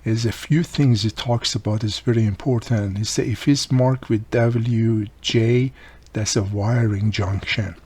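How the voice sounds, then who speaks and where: A man's voice: on the low side, fairly even intonation, a little slow, darkish tone, breathy edge. A man giving a casual, hands-on repair walkthrough, explaining informally step by step as he works. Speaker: radio repair hobbyist presenting his own how-to video.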